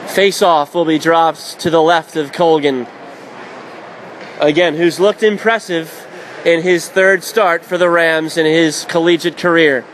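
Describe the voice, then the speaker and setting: A man calling hockey play-by-play on radio, rising to shouts when a goal goes in. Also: fairly high pitch, steady tone, slight background noise, poor audio. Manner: rapid, shouting up on goals.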